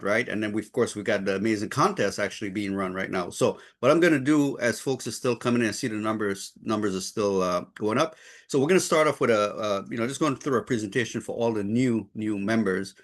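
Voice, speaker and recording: A man from the Caribbean hosting an informal online webinar, upbeat and effusive, in a wordy, halting way. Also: fairly low pitch, gravelly. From Trinidad and Saint Croix, Caribbean. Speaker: host and trainer of online group call.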